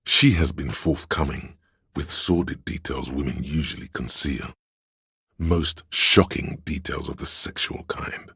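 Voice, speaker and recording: A theatrical inner voice for a video game, grandiose and scheming like a courtier. The register is extremely deep, with heavy gravel and a husky rasp. The delivery is dramatic, declamatory and archaic. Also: male voice, a bit bright, no room sound, studio quality, full character voice. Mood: pompous, courtly. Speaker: voice actor.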